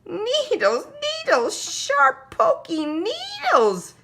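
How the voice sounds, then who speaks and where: Squealing storybook little brother, played by a woman: whiny, very high, wildly swooping, yelping in drawn-out repeats. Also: huge pitch swings, a bit bright, exaggerated voice. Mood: disgusted, yelling. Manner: exclaims in drawn-out repeated yelps. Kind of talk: children's picture-book character voice.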